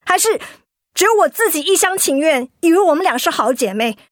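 An actress playing an anxious, hot-tempered young office worker in a Mandarin radio drama, blunt and impatient, with a Taiwanese accent. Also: female voice, very high-pitched, lively intonation, brisk, no echo, studio quality. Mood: hurt, furious. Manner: blunt, impatient, emphatic questioning.

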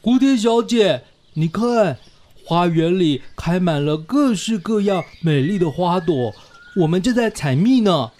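Man doing a high-pitched, gushing bee. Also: lively intonation, unhurried, darkish tone. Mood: gushing, friendly. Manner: gushing, exclaiming. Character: chatty, easily amazed bee friend. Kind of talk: children's radio play acting.

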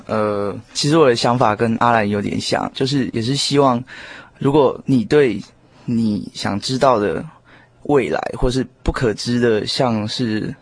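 A man's voice: mid-range voice, unhurried. A man talking casually and a little hesitantly as a radio guest. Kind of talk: casual studio talk.

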